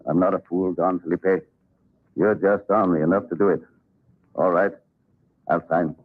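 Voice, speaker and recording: A man playing a wry, defiant marshal with an American accent and a husky voice in an old radio western. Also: low-pitched, steady tone, moderate pace. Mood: grudging. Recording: tinny vintage radio recording.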